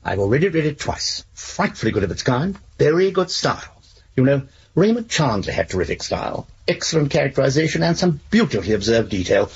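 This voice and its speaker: An actor playing a polite, bookish manuscript expert who rambles absent-mindedly in a genteel manner in a radio drama. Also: male voice, mid-range voice, very animated, fairly quick, husky, dull audio, exaggerated voice. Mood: musing, admiring.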